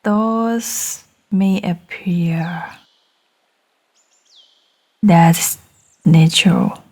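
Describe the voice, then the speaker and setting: A woman guiding a meditation in a gentle, soothing manner, speaking in short phrases with long, unhurried pauses. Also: fairly low pitch. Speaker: meditation guide. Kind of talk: guided meditation narration.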